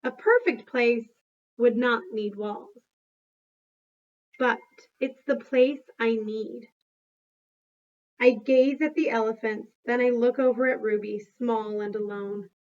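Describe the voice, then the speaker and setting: A woman voicing a thoughtful, gentle male gorilla who tells his storybook tale in a plain, measured way. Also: a little high, steady tone, dull audio. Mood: wistful.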